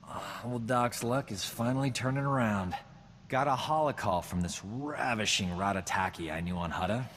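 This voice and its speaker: A man's voice: fairly low pitch, normal speed, fairly bright tone, big-room sound, a little hiss or hum, close to an everyday voice. A man playing a vain, cocky space doctor. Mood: eager. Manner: boastful, flirtatious, wisecracking. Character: cocky womanizing human male doctor, vain and scheming. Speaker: companion character. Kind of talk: video game dialogue acting.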